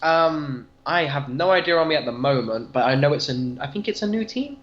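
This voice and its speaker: A man's voice: a little high, animated, fairly quick, fairly bright tone. A man co-hosting a casual discussion, talking in an earnest, rambling, enthusiastic way. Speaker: podcast co-host discussing comics. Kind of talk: casual chat. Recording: dull, echoey room sound.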